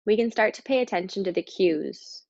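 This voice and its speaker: A woman leading a guided meditation, speaking calmly and slowly with pauses as she gives instructions. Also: mid-range voice, dry recording, highs cut off. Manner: calm, slow, instructing with pauses.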